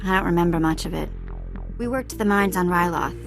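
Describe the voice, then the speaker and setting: An actress playing a streetwise young former slave in a sci-fi game, talking in a casual, wry, guarded way. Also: female voice, fairly low pitch, brisk, soft background music, natural voice. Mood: wry, reflective. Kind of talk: naturalistic sci-fi video game acting.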